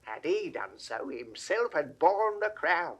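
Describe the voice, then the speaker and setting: An actor in a 1960s Shakespeare audio drama playing a grave, plain-spoken head gardener with deliberate, declamatory delivery. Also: male voice, on the high side, big pitch swings, a bit bright, husky, heavily acted. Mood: grave, reflective.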